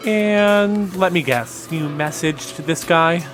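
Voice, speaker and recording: A man's voice: on the high side, slow pace, a bit bright, soft background music. A man playing a wary, easily exasperated young man in an audio drama, speaking in a dry, defensive way. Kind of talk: conversational audio drama acting.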